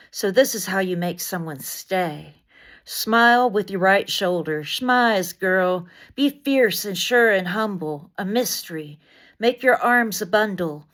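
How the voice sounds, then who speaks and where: A woman reciting spoken-word poetry in deliberate short phrases with dramatic emphasis, as a poet reading another writer's work. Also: fairly low pitch, fairly bright tone.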